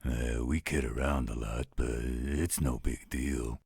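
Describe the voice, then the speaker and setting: A man playing a gruff, overprotective werewolf guardian in an audio roleplay, gravelly and husky, with a protective, fatherly manner. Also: a bass voice, steady tone, slow, a little mellow, dry recording, clean studio sound, full character voice. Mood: reluctant, reflective. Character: gruff, overprotective male werewolf guardian, tender toward his ward.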